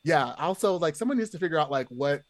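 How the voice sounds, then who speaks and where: A man's voice: a little high, animated, quick pace, no room sound. A man chatting casually as a podcast guest, rambling along and peppering his sentences with "like". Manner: rambling, heavily hedged with "like".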